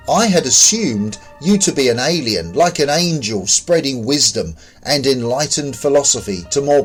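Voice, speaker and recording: A man's voice: mid-range voice, lightly acted. A narrator as a defiant, accusing human man. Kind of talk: audiobook character dialogue.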